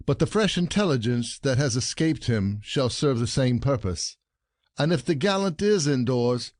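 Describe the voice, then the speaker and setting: An actor playing an aggrieved, scheming husband in a classic stage farce, declaiming formally and indignantly. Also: male voice, mid-range voice, a little mellow, no room sound, studio quality, close to an everyday voice. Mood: brooding. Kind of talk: classic comedy audio play acting.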